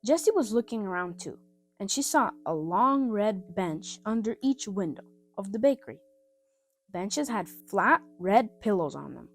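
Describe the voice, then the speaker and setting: A woman reading a scripted children's story aloud in an expressive, simple style, with music behind her. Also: medium pitch, a little slow. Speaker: storybook reader.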